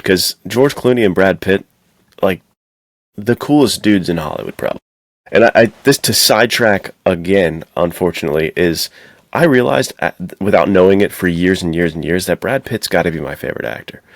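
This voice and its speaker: Deep male voice, dry close sound, enthusiastic, slangy, casual podcast chat. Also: no echo. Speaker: podcast co-host.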